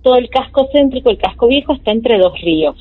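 A woman's voice: on the high side, animated, fast talker. A woman in her forties with an Argentine accent, calling in to tell a true story, talking hesitantly with many fillers. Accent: Argentine Spanish. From Jujuy, Argentina. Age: 40s. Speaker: caller telling a true story. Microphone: phone. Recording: tinny phone line.

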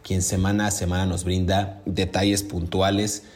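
A man hosting a true crime podcast, narrating in a dramatic, measured way and chatting with his co-host. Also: deep voice, level tone, a little mellow.

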